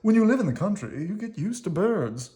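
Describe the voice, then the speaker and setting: A woman's voice: mid-range voice, sing-song, fairly quick, mellow, pitched above the performer's own voice, natural voice. A woman voicing a friendly, unruffled female country worm in a children's storybook, welcoming and matter-of-fact.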